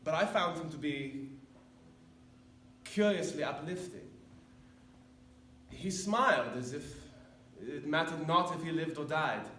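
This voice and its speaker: A man performing a dramatic monologue as a haunted, repentant older former camp officer, speaking solemnly and deliberately with weighty pauses. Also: a little high, bright, forceful tone. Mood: grave, reflective. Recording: echoey room, distant mic, faint noise.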